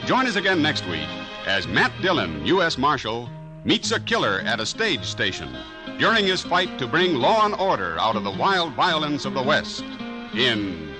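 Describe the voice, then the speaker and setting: A man's voice: big pitch swings, normal speed. A man reading an old-time radio ad and credits in a hearty, emphatic way. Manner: hearty, emphatic sales pitch. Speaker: radio announcer. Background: loud music.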